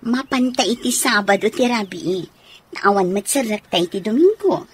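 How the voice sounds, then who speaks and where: A woman's voice: medium pitch, expressive, normal speed, a little hiss or hum, close to an everyday voice. A woman with an Ilocano accent acting in a radio drama as a bossy, coaxing mistress of a married man. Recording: music under the voice.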